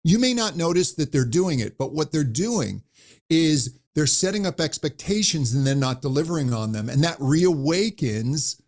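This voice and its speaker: A man who coaches voices, talking animatedly in an interview and demonstrating high and low pitch as he explains. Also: animated, normal speed, darkish tone. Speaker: voice coach guest. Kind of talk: conversational interview.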